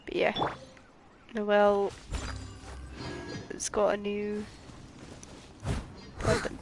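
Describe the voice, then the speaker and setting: Gravelly-voiced woman streaming a game. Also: mid-range voice, completely flat delivery, extremely slow. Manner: chatty, rambling, swears mid-game. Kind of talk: casual gameplay commentary. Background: game music.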